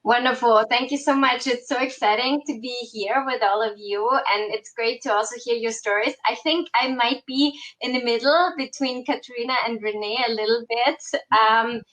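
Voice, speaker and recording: A woman's voice: fairly high pitch, normal speed, few pauses, a bit bright, poor audio. A woman panelist speaking earnestly on a video call, with frequent ums. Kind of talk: casual panel talk.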